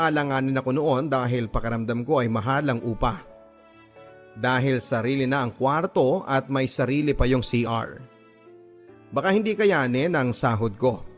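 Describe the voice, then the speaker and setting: Man acting as gentle young worker, mid-pitched voice, earnest first-person radio drama storytelling, measured delivery, dramatic pauses, faint music behind. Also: lightly acted. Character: gentle, earnest 24-year-old male grocery worker recounting his life.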